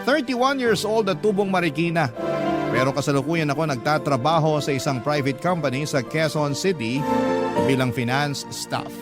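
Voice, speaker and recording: A man's voice: on the high side, moderate pace, natural voice. An actor playing a man in his thirties with a Filipino accent, telling his own love story as a conversational letter to a radio host. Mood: earnest, reflective. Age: 30s. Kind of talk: scripted letter narration in a radio drama. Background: loud guitar music.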